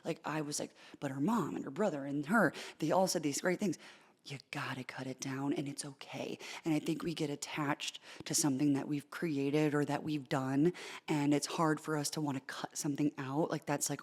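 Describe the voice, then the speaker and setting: A thirty-year-old woman, a sports reporter, talking casually in an interview, deep-voiced, lively with lots of likes and restarts. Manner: animated, quick asides with filler likes and repeated starts. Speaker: sports reporter and in-arena host.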